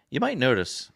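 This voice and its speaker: A man hosting a casual livestream and reading viewer comments aloud, his voice raspy after a sore throat, rambling in a folksy way with many fillers. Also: medium pitch, a bit bright, dry recording, clean studio sound. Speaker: livestream host. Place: home desk. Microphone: desk camera.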